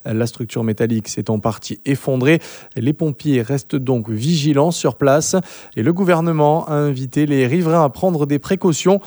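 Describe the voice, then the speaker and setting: A man's voice: fairly high pitch, mellow, no echo, clean studio sound. A man presenting a radio news bulletin, reading steadily with the odd filler. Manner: measured, with occasional fillers.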